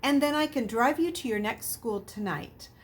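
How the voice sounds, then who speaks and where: A woman voicing a shrewd male double agent in a storybook, with a measured, reasoning manner. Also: a little high, pitched above the performer's own voice, lightly acted. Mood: businesslike.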